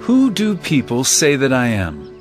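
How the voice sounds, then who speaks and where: An earnest emphatic male teacher, bible audio drama, really expressive, music behind. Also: mid-range voice, unhurried. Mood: measured. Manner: earnest, emphatic, rhetorical questioning.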